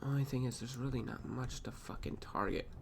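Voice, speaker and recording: A man's voice: mid-range voice, steady tone, a little fast, vocal fry, slight background noise. A man with a sniffly nose, reviewing his own trades aloud in a casual, profane recap, often muttering to himself.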